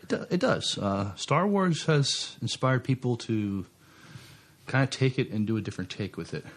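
A man's voice: medium pitch, lively intonation, normal speed. A man co-hosting a podcast in casual chat, speaking in a hesitant, understated way. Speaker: podcast co-host.

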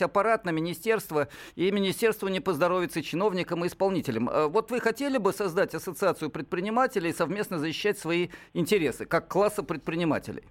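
A man in his sixties co-hosting a radio talk show, speaking in a lecturing, professorial way. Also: sits high. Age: 60s. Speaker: radio co-host.

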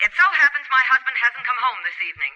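A woman's voice: sits high, animated, very fast, bright, forceful tone, lo-fi sound, exaggerated voice. A woman acting an imperious, anxious wealthy wife in an old radio drama, demanding and agitated. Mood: haughty. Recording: old radio broadcast with music.

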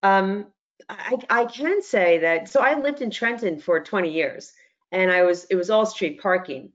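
A woman's voice: mid-range voice, lively intonation, measured pace, muffled recording. A woman chatting as a panelist in casual conversation, hesitantly repeating words as she thinks. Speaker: podcast panelist.

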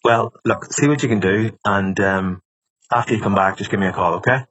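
Irish man calling a friend, hesitant and full of ums, lowish voice, dull lo-fi sound. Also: fairly bright tone. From Ireland. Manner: hesitant, lots of ums and pauses. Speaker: podcast host. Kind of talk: casual phone call.

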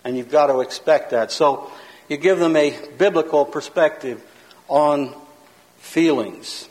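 A man with a high, carrying voice teaches like a preacher, loud and emphatic, hammering points home in rhythm. His words ring out with a long reverb, as if he is in a large hall. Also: unhurried. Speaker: pastor teaching a seminar. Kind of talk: sermon-style teaching lecture.

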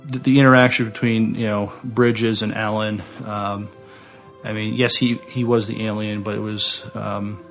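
A man with a creaky, fairly low voice co-hosts a podcast. His casual delivery is halting, with repeated words, and faint music plays underneath. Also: flat delivery, fairly bright tone. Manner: halting, repeats words while searching for phrasing. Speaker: podcast co-host. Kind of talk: casual chat, reading notes aloud.

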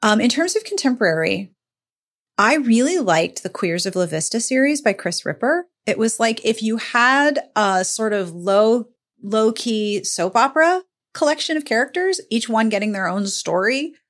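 A woman's voice: fairly high pitch, expressive, normal speed. A woman hosting a podcast, chatting casually about books in an emphatic, playfully declarative way. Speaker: podcast host. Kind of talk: casual book chat on a podcast.

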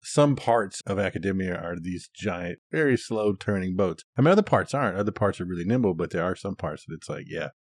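Deep-voiced man, close and dry. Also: lively intonation, fairly quick, hardly any pauses, dry recording. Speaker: podcast co-host. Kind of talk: casual chat.

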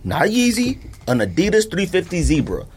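A man's voice: high voice, huge pitch swings, brisk, slight background noise. A man hosting a casual podcast chat, talking in an emphatic, opinionated, slangy way. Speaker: podcast host.

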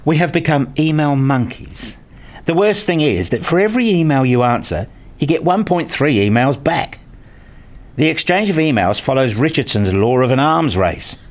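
A man playing an earnest, dryly sarcastic university researcher in a scripted radio play, with a deadpan, formal way of talking. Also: mid-range voice, moderate pace, lightly acted. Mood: dry, teasing. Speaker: narrator and lead actor in a radio play. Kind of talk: first-person scripted radio play narration and dialogue.

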